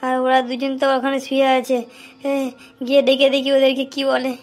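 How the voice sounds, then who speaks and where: A woman's voice: high-pitched, completely flat delivery, a little fast, soft background music. A woman voicing an anxious middle-aged village mother-in-law in a cartoon melodrama, fretful and repeating herself. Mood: alarmed. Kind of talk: amateur cartoon melodrama acting.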